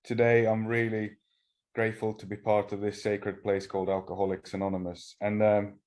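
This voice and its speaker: A middle-aged man from Finland, a native Swedish speaker, telling his personal recovery story at a meeting with halting pauses and restarts. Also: lowish voice, completely flat delivery, darkish tone. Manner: halting, frequent restarts and fillers. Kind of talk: personal testimony talk.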